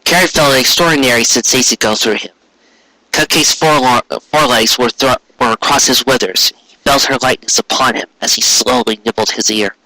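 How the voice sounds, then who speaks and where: A man's voice: medium pitch, brisk, bright, forceful tone, dry recording, poor audio. A man narrating a written story expressively, with earnest, dramatic phrasing. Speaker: story reader.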